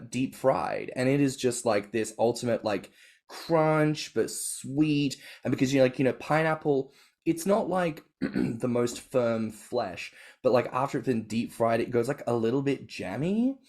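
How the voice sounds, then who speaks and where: A man's voice: medium pitch, unhurried. Enthusiastic Australian man hosting a podcast. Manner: enthusiastic, animated, full of "like". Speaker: podcast host. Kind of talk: casual podcast chat.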